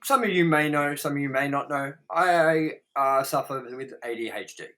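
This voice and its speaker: Blunt, bright-voiced man reviewing films. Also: on the high side, moderate pace. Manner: blunt, emphatic, sweary. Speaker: co-host of a movie review show. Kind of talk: casual chat, opinionated film review.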